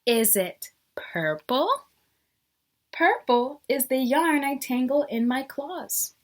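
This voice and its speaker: A woman's voice: sits high, expressive, slow. A woman teacher reading a picture book aloud to young children, explaining things simply and asking them questions.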